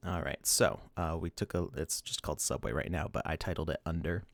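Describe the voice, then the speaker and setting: Low-voiced man chatting on a livestream. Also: fairly quick, gravelly, dry recording. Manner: rambling, laughs often, restarts, reads chat. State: sniffly. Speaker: livestream host. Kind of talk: casual livestream chat.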